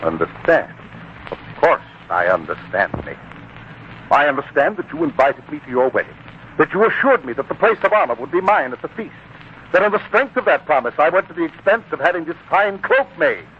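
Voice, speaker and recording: A tinny, faintly noisy 1940s radio drama recording of a self-important employer. His voice sits a little high and swings with wounded pride, pompous and quick to take offense, coaxing one moment and indignant the next. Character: proud, petty, self-important male employer, easily offended.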